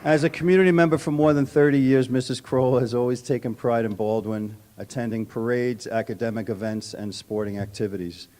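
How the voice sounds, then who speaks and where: A man's voice: mid-range voice, steady tone, fairly quick, few pauses, mellow. A man speaking as a school administrator, delivering a prepared tribute at a public meeting with some informal asides.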